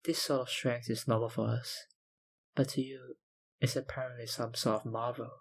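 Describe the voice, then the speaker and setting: A man playing an obsessive, dominant, hungry vampire researcher in an intimate ASMR roleplay, with a breathy, gravelly voice and a softly menacing manner. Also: medium pitch, little pitch movement. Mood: smug. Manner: slow, intimate, softly menacing, lapsing into whispers.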